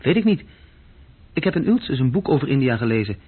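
A thin, phone-like old radio recording of a young clerk with a slightly husky voice. He recounts things in a restless, self-reproaching way, hesitating as he talks. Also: medium pitch, very animated, fast talker. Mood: reflective, restless. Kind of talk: radio play dialogue and recollection.

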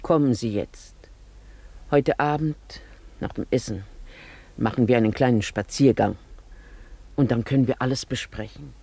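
A woman's voice: very deep voice, normal speed, long pauses, dark tone, breathy edge, no echo, exaggerated voice. An actress playing a genteel upper-class married lady in a German radio drama, composed and formal in manner, holding on to an elegant past. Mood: gently firm.

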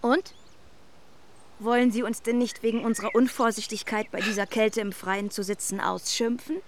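A woman's voice: on the high side, fairly even intonation, moderate pace, a little hiss or hum, natural voice. A woman acting a witty, worldly, ambitious young lady in a German radio drama, teasing and arch.

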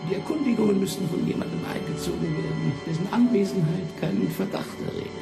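An actor playing a fat, condescending French intelligence official with a thin, hoarse voice in a radio drama. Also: male voice, high-pitched, fast, over loud music. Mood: smugly condescending.

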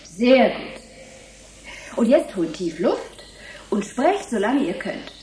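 A woman's voice: on the high side, sing-song, slow, a crowd in the background. An actress playing a wry, didactic woman who coaches androids in speech, in a science fiction radio play. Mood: brisk, instructive. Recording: echoey, clear background noise.

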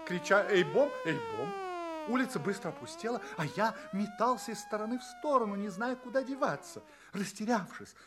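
An actor playing an earnest, polite space traveller who recounts his adventures in theatrical audio play narration, with dramatic pauses. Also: on the high side, fairly bright tone, a little hiss or hum. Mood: alarmed.

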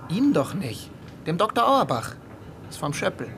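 A cheeky delivery man in a radio drama. He is stubborn and excitable, with a high, very lively voice over clearly noisy ambience. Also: moderate pace, exaggerated voice. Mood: defiant. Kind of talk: German radio play acting.

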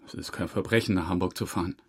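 An actor playing an evasive, embarrassed suspect in a radio drama, speaking haltingly and defensively in a husky voice. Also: male voice, sits low, fairly even intonation. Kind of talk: radio drama interrogation dialogue.

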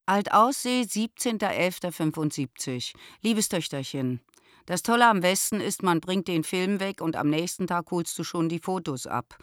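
A woman's voice: on the low side, lively intonation, normal speed, no echo, studio quality, close to an everyday voice. An actress playing a worldly, opinionated and affectionate middle-aged mother, speaking a letter in a radio drama. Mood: indignant, chatty. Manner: emphatic, opinionated.

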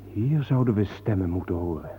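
An actor playing a troubled, easily appalled companion, asking earnest, uneasy questions in a radio play. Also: male voice, low voice, lively intonation, moderate pace. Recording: noisy, tinny phone-like old radio recording.

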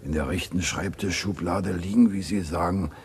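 An actor playing a rough, cocky ex-boxer crook in a German radio crime play, blunt and slangy. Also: male voice, low-pitched, moderate pace. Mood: blunt, doubtful. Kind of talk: 1950s to 80s German radio crime drama acting.

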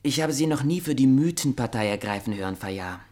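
A man acts out an insistent, formal figure in a German radio play. He speaks in a mid-pitched voice, deliberately and pointedly, pressing his case. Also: animated, a little mellow, close to an everyday voice. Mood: indignant, pointed. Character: insistent man pressing a journalist to publish evidence.

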